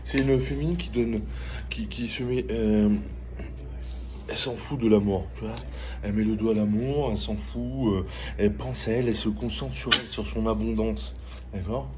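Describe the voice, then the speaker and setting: A middle-aged man chatting casually as he gives a tarot reading, halting and repeating phrases, often checking in with the listener. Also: fairly low pitch, fairly even intonation. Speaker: tarot reader. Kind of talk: casual spoken tarot reading with personal chat. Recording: clearly noisy ambient background.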